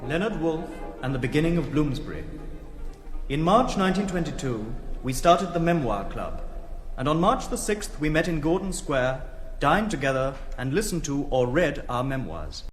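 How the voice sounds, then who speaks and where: An actor playing a solemn, precise literary memoirist, reading formally in a declamatory stage style. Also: male voice, fairly high pitch, lively intonation, normal speed, faint music behind, close to an everyday voice. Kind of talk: scripted theatrical reading. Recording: long hall reverb, faint noise.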